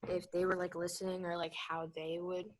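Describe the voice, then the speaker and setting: A woman student with a somewhat low voice explains her experience in class. She is earnest and careful in how she puts it. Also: flat delivery, brisk, noisy background. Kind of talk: sharing thoughts in class.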